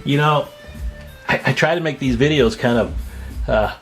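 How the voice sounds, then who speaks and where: A man's voice: medium pitch, normal speed, faint music behind. An older man in his sixties, plain-spoken and folksy, giving a casual, rambling hands-on demonstration as the host. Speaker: host, radio repair hobbyist.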